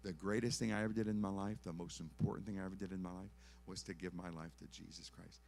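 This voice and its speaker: A man's voice: low-pitched, steady tone, fast, creaky, a little mellow. An older man, a concert pianist, giving an earnest, pleading testimony at a church concert and repeating his phrases. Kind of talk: evangelistic testimony from a stage.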